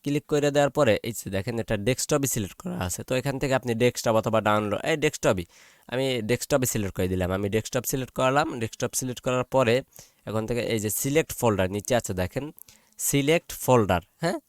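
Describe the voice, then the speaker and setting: Bangladeshi guy doing a step by step pc tutorial, repeats stuff, keeps checking you follow, medium pitch, dry close mic. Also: dry recording. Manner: repetitive, often checks in with listeners. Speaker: tutorial presenter. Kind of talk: informal step-by-step software tutorial.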